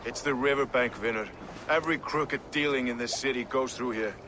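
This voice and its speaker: A man's voice: mid-range voice, brisk, background noise, close to an everyday voice. An actor playing a blunt, crude-tongued dockside watchman.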